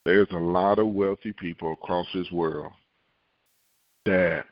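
A man preaching a sermon, reading scripture and acting out worried believers in a raised voice, repeating key lines slowly for emphasis. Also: low-pitched, fairly even intonation, no echo, muffled recording. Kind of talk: podcast sermon.

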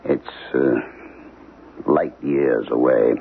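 Very deep, gravelly haunted professor. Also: male voice, steady tone, exaggerated voice. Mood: grave, distant. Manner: brooding, halting, terse. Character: troubled, haunted archaeology professor, married man with grown children. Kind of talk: 1970s radio drama acting. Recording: tinny, narrow-band old radio broadcast.

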